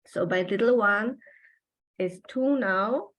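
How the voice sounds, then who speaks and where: A woman in her forties, a native German speaker talking in English as a podcast guest, reflective and halting with many pauses and fillers. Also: mid-range voice, a bit bright, low-quality recording. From Austria and Taiwan. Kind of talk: personal interview conversation.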